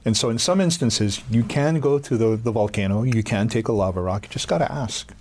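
Low-voiced guy, chill explainer on a podcast, lots of you know. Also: brisk, slight background noise. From Hawaii. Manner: calm, explaining, many fillers. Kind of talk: casual chat.

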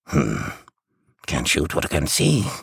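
A sardonic Scottish elf who mans the big guns in a comic war story. The voice is husky and forceful, swooping widely in pitch, in a dry close recording. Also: male voice, huge pitch swings, extremely slow, no room sound, full character voice. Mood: muttering. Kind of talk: military comedy audio story.

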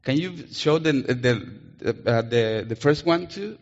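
Colombian man, Spanish native, slow interactive preacher; long hall reverb. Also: mid-range voice, animated. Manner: playful, coaxes answers from the congregation. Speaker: missionary preacher. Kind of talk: interactive sermon. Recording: muffled sound in a reverberant hall.

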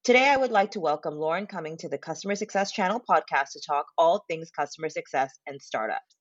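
A woman hosts a podcast in an upbeat, clearly read style. Her voice sits very low for a woman. The audio is dry and a bit dull, with no room sound behind her. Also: lively intonation, fast, no room sound. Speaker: podcast host. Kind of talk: scripted podcast intro and interview.

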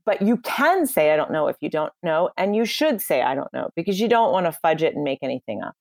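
Low-voiced woman, assured coach, dry close sound. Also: big pitch swings, brisk, darkish tone, dry recording. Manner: confident, emphatic, advice-giving. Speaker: communication coach for lawyers. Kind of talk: podcast interview answers.

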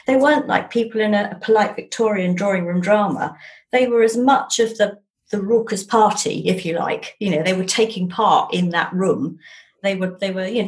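A middle-aged woman speaks in a casual interview. Her voice sits at an average pitch, and she is emphatic and animated, often doubling up words to drive a point home. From London, England. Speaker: author guest.

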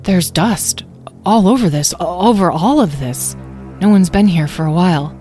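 An earnest, nervous male adventurer from a storybook, acted out by a woman. He speaks with curiosity and hesitant caution over soft music. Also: fairly low pitch, moderate pace, close to an everyday voice. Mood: curious.

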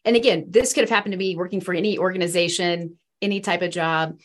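This is a woman in her forties from the Midwest who talks at length about her life. She comes across as earnest and rambling, with plenty of fillers along the way. Her speaking voice sits a little low. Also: fairly even intonation, quick pace. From East Central Illinois. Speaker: guest. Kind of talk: faith testimony in a podcast interview.

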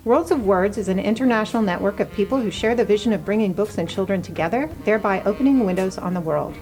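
A woman's voice: mid-range voice, quick pace, a little mellow, low-quality recording. Woman hosting a podcast, music behind. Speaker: podcast host, university program director. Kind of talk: podcast hosting, partly scripted intro.

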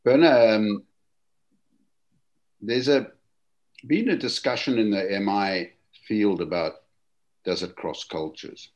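A man leading a webinar discussion, speaking in a gentle, reflective and courteous way over a video call. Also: lowish voice, takes it slowly, pauses often, muffled recording. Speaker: webinar panelist and host.

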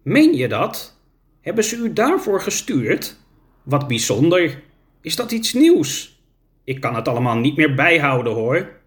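Man doing a frail woman in her nineties, hesitant, slow, lively pitch, storybook voice. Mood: bewildered. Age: 90s. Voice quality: slow, hesitant, a little confused. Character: frail 96-year-old woman in a care home. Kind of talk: storybook reading.

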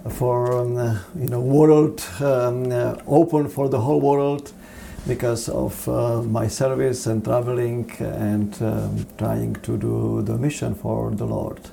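A man with a Czech accent answering interview questions on theology in a halting, earnest, preacherly manner. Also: mid-range voice, flat delivery, creaky, mellow, slight background noise. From Czech Republic. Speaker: seminary dean, interview guest.

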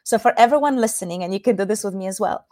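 Polish-accented woman in her thirties, podcast guest, calm and earnest, walks listeners through exercises, medium pitch. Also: rapid-fire. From Poland. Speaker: intimacy coach guest. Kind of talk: podcast interview.

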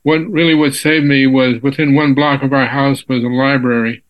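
A man in his nineties from Texas, a physicist guest in an interview, talking slowly with many fillers and restarts. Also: mid-range voice, flat delivery, muffled recording. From Texas, USA. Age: 90s. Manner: unhurried, halting with frequent fillers and restarts. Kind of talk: casual interview.

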